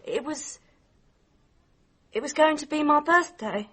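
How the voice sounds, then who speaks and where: A woman's voice: high-pitched, animated, unhurried, sharp tone, exaggerated voice. A woman playing an earnest, polite young working girl in a radio mystery drama. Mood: eager, wistful.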